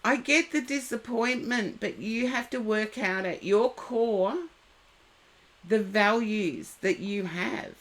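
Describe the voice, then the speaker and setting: Woman w a head cold doing live tarot, slow and easygoing, talks to viewers by name. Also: mid-range voice. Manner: slow, unhurried, gently advising viewers by name. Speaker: tarot reader streaming live. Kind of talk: live stream tarot reading, casual advice.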